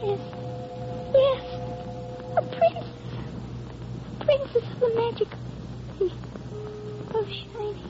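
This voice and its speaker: An actress playing a frail, dreamy little girl in a 1930s radio drama, speaking haltingly with breathless wonder. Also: very high voice, dark tone. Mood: enraptured. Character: frail dreamy little girl awaiting her fairy-tale prince. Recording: muffled old radio broadcast, noisy, music behind.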